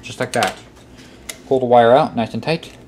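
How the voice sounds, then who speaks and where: Casting: male how-to presenter, medium pitch, casual and methodical, repeats instructions, recorded with noticeable background noise. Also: moderate pace, darkish tone. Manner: step-by-step, repeats himself. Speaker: tutorial presenter. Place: indoor workbench. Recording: clearly noisy amateur room recording. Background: clear background noise.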